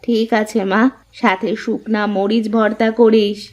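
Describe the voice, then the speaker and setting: A woman voicing a gentle, ageing mother-in-law in a Bengali cartoon story. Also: medium pitch, level tone, unhurried, close to an everyday voice. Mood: mild, resigned. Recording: dull lo-fi sound.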